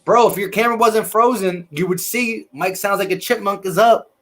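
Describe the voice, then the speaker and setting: A laid-back man hosting a live sports podcast over a video call, reading comments from the chat. His voice sits a bit high for a man. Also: moderate pace, a bit bright. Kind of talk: casual live-stream chat.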